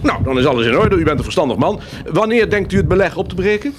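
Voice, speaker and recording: A man's voice: high-pitched, expressive, quick pace, background noise. A man acting an elderly banker and envoy in a radio play, formal and courteous, hesitant at times, with a shrewd streak. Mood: measured, shrewd. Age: older.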